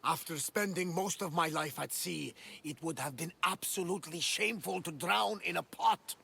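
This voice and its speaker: An actor playing a weathered, pious sea captain in a video game, talking dramatically with a gravelly voice and calling on the gods. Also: male voice, fairly high pitch, normal speed, sharp tone, exaggerated voice. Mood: grateful. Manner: dramatic, invokes the gods, bursts into laughs. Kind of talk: video game cutscene acting. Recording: game score under the voice.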